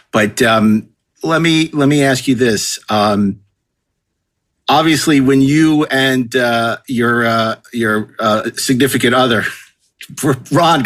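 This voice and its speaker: Male podcast host, mid-pitched, conversational, rambling into questions with repeated phrases. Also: a bit bright. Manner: rambling, repeats words while forming questions. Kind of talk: casual interview chat.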